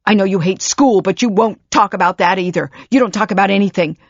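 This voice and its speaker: A woman's voice: mid-range voice, moderate pace, no echo, lo-fi sound, natural voice. A woman voicing a frantic, furious mother.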